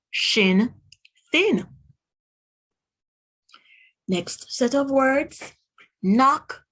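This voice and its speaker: A woman reading aloud to young children as a teacher, pronouncing words deliberately one by one and putting questions to the listener. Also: high-pitched, fairly bright tone, rough recording. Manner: deliberate word-by-word enunciation, asks the listener questions. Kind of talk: read-aloud phonics lesson for children.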